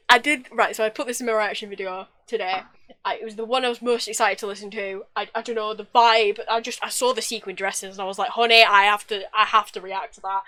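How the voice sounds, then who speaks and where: A woman co-hosting a casual podcast chat, giggly and excitable, gushing about what she likes. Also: a little high. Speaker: podcast co-host.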